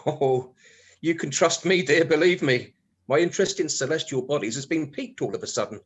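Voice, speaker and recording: An actor playing a cheeky, henpecked middle-aged British husband in a comic radio play, confiding in a mock-apologetic way full of innuendo. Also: male voice, fairly high pitch, animated, brisk, husky. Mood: sheepish, placating. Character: cheeky, henpecked, sex-starved husband with a telescope.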